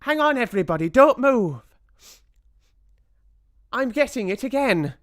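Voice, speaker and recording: A storyteller voicing an excitable, keen-nosed female witch in a shrill, urgent, halting way. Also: dry recording, studio quality. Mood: excited, urgent.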